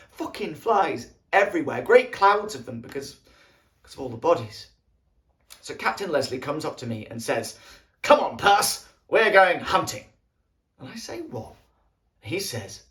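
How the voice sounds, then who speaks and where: A man playing a wistful British soldier of the First World War, reminiscing tenderly in an earthy, halting stage monologue. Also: fairly high pitch, big pitch swings, a bit bright. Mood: wry recollection. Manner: colloquial, earthy, halting storytelling. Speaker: actor performing an audition monologue.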